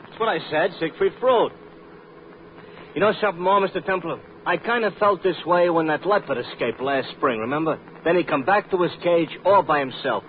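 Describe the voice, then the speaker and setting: An actor playing a naive, good-natured, talkative cab driver who muddles big words in a slangy, folksy way in a radio drama. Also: male voice, on the high side, normal speed, natural voice. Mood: chatty, earnest. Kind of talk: old-time radio comedy-drama acting. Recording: narrow old radio recording, faint hiss.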